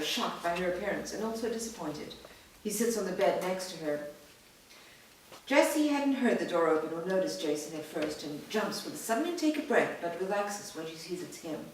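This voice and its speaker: A woman reads stage directions in a plain, even way. She is far from the mic in a very echoey space with background noise. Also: lowish voice. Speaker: narrator reading stage directions. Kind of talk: staged reading.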